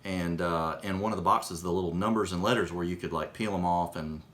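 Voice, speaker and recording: A man casually telling rambling anecdotes in conversation, halting and correcting himself as he goes. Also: low-pitched, fairly bright tone. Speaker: storyteller recalling his own childhood.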